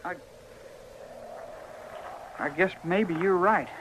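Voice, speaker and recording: A man's voice: high voice, drawn-out words. An actor playing a scared, defiant runaway frontier boy with a rural American accent, folksy and earnest in old-time radio style. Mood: sheepish, defensive. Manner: folksy, earnest, stammering when cornered. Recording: noisy old radio drama recording.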